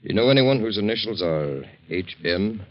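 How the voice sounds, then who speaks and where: A man acting a businesslike police detective in an old-time radio drama, measured and matter-of-fact. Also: lowish voice, lively intonation, no echo, lightly acted. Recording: dull muffled old radio recording.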